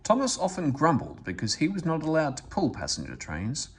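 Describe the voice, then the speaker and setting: A man reading a children's storybook aloud as an expressive narrator. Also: on the high side, brisk, husky. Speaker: story reader.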